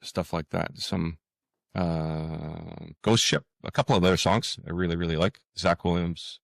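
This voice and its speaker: A man talking casually as an interview guest, halting often and repeating words as he thinks out loud. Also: low voice, steady tone, creaky, no echo, studio quality. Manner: hesitant, halting, with frequent pauses and repeated words.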